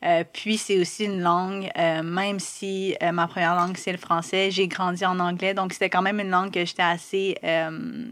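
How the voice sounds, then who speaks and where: A woman's voice: lowish voice, flat delivery, moderate pace, hardly any pauses, fairly bright tone, dry recording. A woman from British Columbia, a podcast guest, explaining things steadily with light fillers. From Canada, British Columbia. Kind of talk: casual podcast chat.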